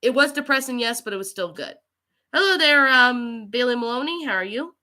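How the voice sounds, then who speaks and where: Bright-voiced woman streamer with a cold. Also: a little high, expressive, fairly quick. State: getting over a cold with allergies. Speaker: livestream host and creepypasta narrator. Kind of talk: casual livestream chat, reading and answering viewer comments.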